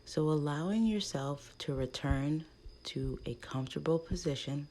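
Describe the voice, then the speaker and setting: A woman leading a guided meditation in a gentle, hushed manner, speaking in short soothing phrases with long pauses between them. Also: low-pitched, a little hiss or hum.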